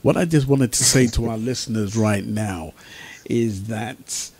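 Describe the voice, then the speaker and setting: A man's voice: fairly low pitch, a little slow, a little mellow, breathy edge. A man presenting an informal radio talk show as its host, speaking to listeners.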